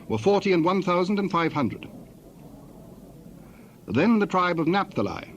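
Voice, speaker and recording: Higher-voiced man narrating scripture. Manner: formal, measured reading. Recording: dull sound, faint background noise.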